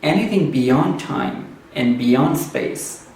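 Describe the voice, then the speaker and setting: Urdu-speaking guy, slow and thoughtful, lots of uh pauses, mic kinda far, super echoey. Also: mid-range voice, animated, a little mellow. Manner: slow, measured, with frequent "uh" pauses. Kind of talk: reflective spoken essay. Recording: very echoey room, distant mic, faint background noise.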